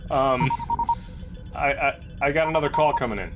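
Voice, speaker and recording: A man improvising as a starship captain in a tabletop game, talking in a casual, halting and playful way. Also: mid-range voice. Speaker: player acting as starship captain. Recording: music playing behind the voice.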